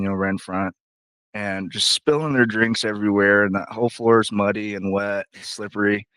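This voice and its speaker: A man's voice: on the low side, sharp tone, clean tone, no echo. A young man, a musician guest, chatting casually in an interview, telling rambling stories and swearing freely. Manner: laid-back, swears freely, rambling storyteller.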